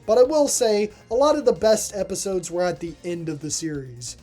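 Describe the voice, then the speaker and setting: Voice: medium pitch, moderate pace, dark tone, faint music behind. A reviewer narrating a scripted video review in a voice-over.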